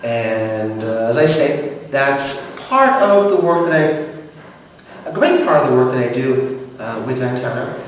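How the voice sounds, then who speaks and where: A man's voice: mid-range voice, lively intonation, a little hiss or hum. Man from montreal, french native speaker, formal and hesitant, far from the mic, very echoey room with long reverb. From Montreal, Canada. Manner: formal, measured, frequent hesitations. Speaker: director of operations. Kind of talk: interview answers.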